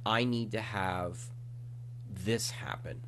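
A man's voice: on the low side, fairly even intonation, takes it slowly, a bit bright, a little hiss or hum. A man hosting an interview podcast, asking casual questions in a conversational, inquisitive way.

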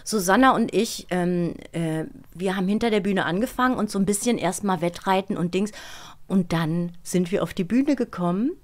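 An older woman, a voice actress, telling stories as a guest in a casual interview, with lively, emotional phrasing. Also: fairly low pitch, normal speed. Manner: expressive, storytelling, emotional.

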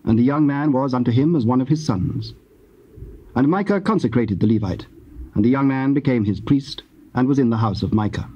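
A man narrating scripture as a measured, formal scripted reading. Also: medium pitch, expressive, dark tone. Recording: dull old cassette audio.

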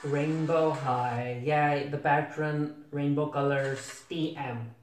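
A man's voice: a little high, fairly even intonation, slow pace, a little hiss or hum. A young man giving casual, bemused reaction commentary, asking questions and repeating himself as he goes. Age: young adult. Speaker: reviewer reacting to a cartoon. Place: small home room with a desk. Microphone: camera a few feet away. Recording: roomy, distant microphone.

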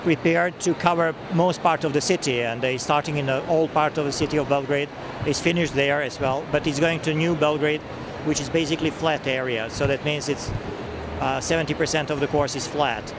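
A man's voice: a little high, steady tone. Middle-aged Serbian-accented man, noisy hall. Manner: careful, halting non-native English. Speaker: race representative. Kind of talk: expo interview. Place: busy indoor expo hall. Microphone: handheld mic held by interviewer. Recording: noisy expo hall background.

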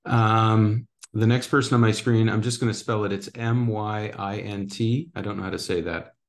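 A man's voice: fairly low pitch, fairly even intonation, moderate pace, vocal fry. A man hosting online introductions on a video call, calling on people by name and apologizing for mispronouncing them. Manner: apologetic about pronouncing names. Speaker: workshop host. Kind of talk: casual online meeting introductions.

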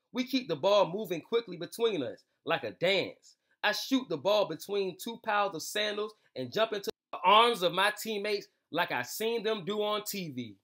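Young guy hosting story time for kids, high bright voice, talks real informal. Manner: informal, slangy, addressing young listeners directly. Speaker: host of a children's read-aloud show. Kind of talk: casual intro and storybook read-aloud. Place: small room indoors.

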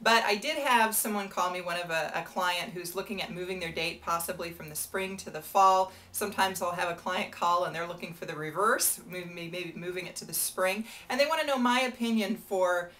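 A woman's voice: on the low side, moderate pace, few pauses, sharp tone. A woman in her thirties from the United States, a benefit auctioneer giving friendly, chatty advice straight to camera in a small room. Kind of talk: casual to-camera advice talk. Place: small indoor room before a whiteboard.

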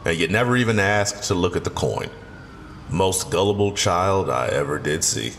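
An actor playing a wise, stern older garage owner and community leader in an audio drama, plain-spoken and authoritative. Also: male voice, sits low, lively intonation, moderate pace, gravelly, fairly bright tone, slight background noise, exaggerated voice. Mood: fatherly, knowing. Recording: long reverb, music underneath.

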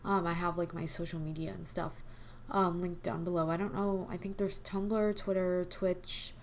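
A young woman hosting a casual game video, her voice hoarse and quiet from a cold, rambling with lots of fillers. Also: fairly low pitch, little pitch movement, moderate pace, a little hiss or hum. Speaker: gaming channel host. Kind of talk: casual chat over gameplay. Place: home desk at night.

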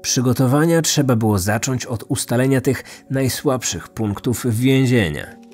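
A man's voice: medium pitch, lively intonation, unhurried, a little mellow. A man narrating a scripted true crime story as a podcast host. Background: background music.

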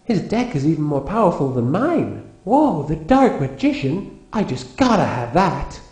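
A man voicing a breezy, slyly self-centred teenage boy hero with a husky voice in a cartoon parody dub. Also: on the high side, very animated, unhurried, a little mellow, heavily acted. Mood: breezy, scheming.

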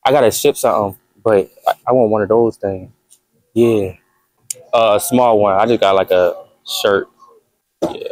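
A young man chatting casually to his vlog viewers while he works in his kitchen at home. He talks in a relaxed, chatty way and keeps calling the audience "y'all". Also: lowish voice, a little slow, long pauses, low-quality recording. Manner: laid-back, chatty, addresses viewers as y'all. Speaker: vlogger.

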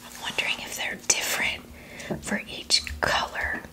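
A woman in her thirties whispering in a hushed, breathy ASMR style, close to the microphone. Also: normal speed. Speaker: ASMR creator tasting candy. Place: small indoor setup with fabric backdrop. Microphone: close tabletop microphone.

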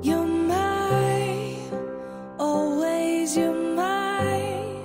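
A woman speaking tender wedding vows as a voice-over, slow and drawn out. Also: very high-pitched, steady tone. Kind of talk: vows read over music. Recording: voice-over under loud music.

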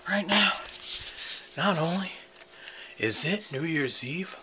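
A young man hosting a prank video, talking casually and showily to camera in a drawn-out way. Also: a little high, lively intonation, heavy background noise. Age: young adult. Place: indoors, basement-like room with a couch.

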